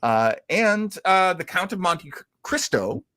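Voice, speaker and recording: A man's voice: sits high, big pitch swings, measured pace, sharp tone, dry recording. Man hosting a casual chat, close dry sound. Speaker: host of a livestream about classic radio and comics. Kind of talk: casual live chat commentary.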